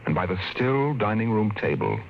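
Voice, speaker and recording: A man's voice: fairly low pitch, sing-song, normal speed, vocal fry, highs cut off, a little hiss or hum. A man narrating a 1950s radio drama with a dramatic storytelling delivery. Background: loud music score.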